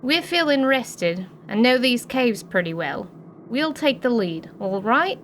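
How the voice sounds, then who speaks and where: A brusque goblin gang leader, acted by a woman in an audio drama. She speaks rather high and takes her time, blunt and cocky with a folksy turn. There is faint noise behind her. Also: animated, measured pace, fairly bright tone.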